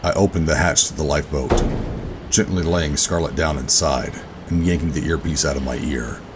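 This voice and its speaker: A man playing a weary rogue operative, gruff but tender, narrating a sci-fi audio drama in a brooding, deliberate way. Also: very deep voice, noisy background. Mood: brooding, resolute. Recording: music bed under the voice. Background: dramatic music.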